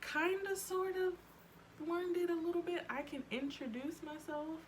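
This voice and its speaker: A woman in her twenties from Ohio, chatting casually to camera with lots of ums and quick asides. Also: very high voice, fairly even intonation, a little hiss or hum. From Cleveland, Ohio. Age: 20s. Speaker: vlogger.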